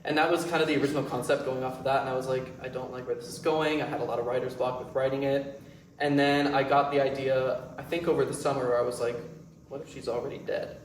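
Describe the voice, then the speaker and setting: A man's voice: medium pitch, little pitch movement, quick pace. An eighteen-year-old man talking casually as a playwright and director at a question session in a performance space. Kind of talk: casual post-show talk. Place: indoor performance space, recorded from afar. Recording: echoey room, distant mic, faint noise.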